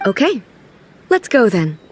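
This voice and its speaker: A woman playing a calm, dignified ruler in a video game, speaking formally with earnest curiosity. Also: on the high side, unhurried, slight background noise. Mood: puzzled. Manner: composed, formal, earnestly puzzled. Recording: music behind the dialogue.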